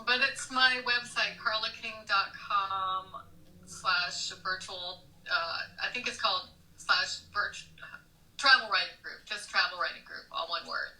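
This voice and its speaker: A woman's voice: medium pitch, a little slow, pushed and bright, poor audio. A woman speaking as a travel writer guest in a conversational interview, chatty and explanatory.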